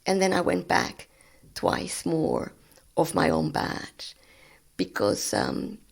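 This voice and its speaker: Gravelly older woman with Spanish roots. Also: deep voice, wildly swooping pitch, slow. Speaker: guest scientist. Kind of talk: conversational storytelling.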